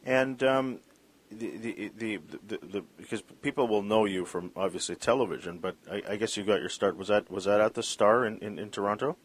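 A man's voice: fairly low pitch, a little fast, husky. A man hosting an interview program, reading a long guest introduction and asking questions with many fillers and restarts. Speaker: podcast host. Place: Vancouver.

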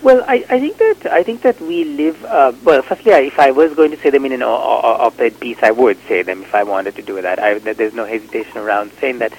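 A man's voice: medium pitch, brisk, few pauses, low-quality recording. A man from Sri Lanka, a novelist, answering interview questions in a reflective, hesitant way with many fillers and restarts.

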